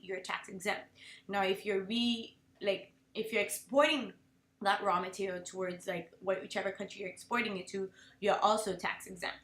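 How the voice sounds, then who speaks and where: A young woman from Haiti giving a class presentation speech, earnest and halting, with restarts and fillers. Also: mid-range voice, a bit bright. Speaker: student presenter. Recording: echoey room.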